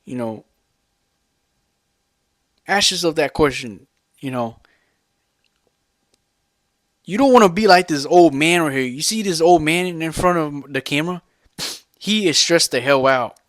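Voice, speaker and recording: A vlogger speaks with a fairly high-pitched voice as he rants at the camera. His delivery is rambling and emphatic, circling back on the same points and peppered with curses. The sound is dry, with almost no room echo. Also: expressive, a little slow, long pauses. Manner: rambling, repetitive, profane and emphatic. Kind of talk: unscripted rant to camera.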